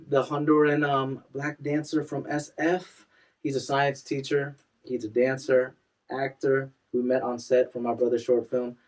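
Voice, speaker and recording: Young man, podcast host, hype energy, laughs a lot, mic a little distant. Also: medium pitch. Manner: energetic, hypes guests, laughs often. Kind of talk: upbeat casual interview hosting.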